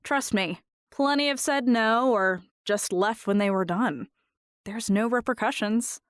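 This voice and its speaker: A woman's voice: on the high side, unhurried, no echo, studio quality, close to an everyday voice. A woman playing a sassy, laid-back nonbinary human greeter in a vampire audio drama, teasing and breezily patronising.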